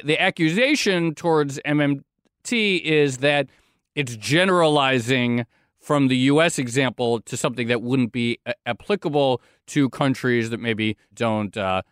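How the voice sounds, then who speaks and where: A man co-hosting a podcast, thinking aloud in an animated casual chat. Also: mid-range voice, normal speed, clean tone, dry recording, studio quality. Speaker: podcast co-host.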